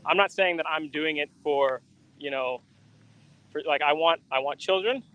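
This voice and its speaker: A man hosting a casual conversation, answering a caller's questions haltingly and restarting his phrases often. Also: on the high side, smooth, dull audio.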